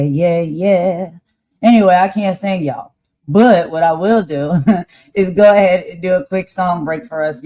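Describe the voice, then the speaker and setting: A man co-hosting a live stream, raspy-voiced, speaking earnestly about values and calling his audience y'all. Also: on the high side, a little mellow. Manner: preachy, earnest, addresses viewers as y'all. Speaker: co-host. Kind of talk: casual live-stream talk.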